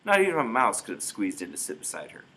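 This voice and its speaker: A man reading a story aloud in expressive narration, with dramatic emphasis. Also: lowish voice, fast talker, gravelly. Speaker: storybook reader.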